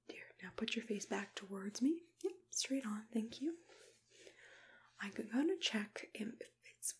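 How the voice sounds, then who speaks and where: A young woman whispering breathily and gently, reassuring the listener as she murmurs step-by-step in an ASMR dentist roleplay. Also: medium pitch. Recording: clear background noise with clicking tool sounds. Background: noise, clicks of dental tools.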